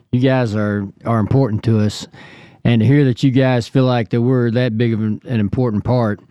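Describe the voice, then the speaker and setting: Male co-host, mellow voice, rambling and halting, dry close mic. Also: medium pitch, dry recording. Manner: rambling, halting, full of restarts. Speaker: podcast co-host. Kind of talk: casual podcast chat. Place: home recording studio.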